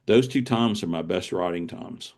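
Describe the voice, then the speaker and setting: A man with a low-pitched voice talks as a guest author in an interview. He explains himself conversationally, often doubling back on words as he works out a thought. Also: moderate pace, a little mellow. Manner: explanatory, repeats words as he thinks.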